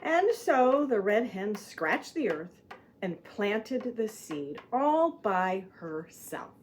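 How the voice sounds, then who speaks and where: A woman's voice: medium pitch, big pitch swings, slow pace, slight background noise. Puppet-show narrator: woman, mid-range voice, scripted storybook delivery for young children.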